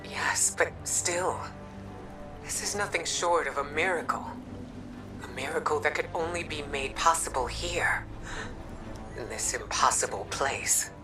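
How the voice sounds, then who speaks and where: Commanding female mystic for a game: very low pitch, measured and formal, clear music behind. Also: distant mic, low-quality recording. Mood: firm, earnest. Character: grave, commanding, composed female mystic scholar. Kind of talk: video game cutscene acting.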